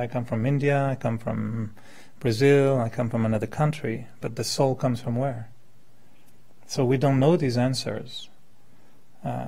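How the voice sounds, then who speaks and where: Gravelly man giving a talk. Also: mid-range voice, mellow. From born in France, settled in Quebec. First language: French. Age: 50s. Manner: conversational, illustrates with hypothetical examples and questions. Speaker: meditation teacher, speaker. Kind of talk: informal spiritual talk to a live group.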